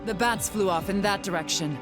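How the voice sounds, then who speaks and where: An actress playing a level-headed adventurer in a video game, dry and matter-of-fact. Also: female voice, mid-range voice, brisk, music in the background, close to an everyday voice. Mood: wry. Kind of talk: English video game voice acting.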